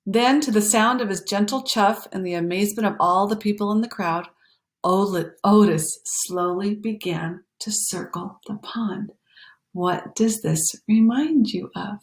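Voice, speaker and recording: A woman reading a children's picture book aloud in an expressive, animated way, pausing for emphasis and talking to young listeners. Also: medium pitch. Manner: animated, explanatory, pausing for emphasis and dropping to hushed phrases. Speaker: storytime reader.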